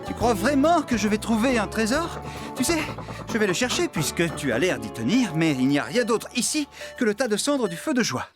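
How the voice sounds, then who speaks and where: An actor voicing an excitable, easily panicked farmhand in a French cartoon dub, with an animated, exclamatory delivery. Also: mid-range voice, brisk, over music, close to an everyday voice. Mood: puzzled.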